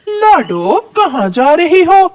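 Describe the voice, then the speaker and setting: A woman's voice: very high-pitched, sing-song, slow pace, darkish tone, dry recording, pitched above the performer's own voice, full character voice. A woman voicing a jealous, scheming mother crow in a cartoon, snappish and scornful. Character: jealous, scheming crow stepmother, sharp-tongued adult female.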